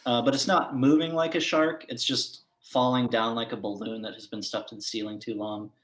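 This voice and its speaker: A man running a tabletop game as game master, describing scenes in a relaxed way with frequent fillers. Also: mid-range voice, normal speed, roomy sound.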